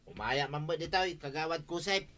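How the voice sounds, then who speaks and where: A stubborn, cheeky old villager in an Ilocano radio comedy. The voice is sharp and forward, pitched slightly high for a man. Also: expressive, fairly quick. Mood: boastful. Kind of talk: broadcast Ilocano radio comedy drama acting.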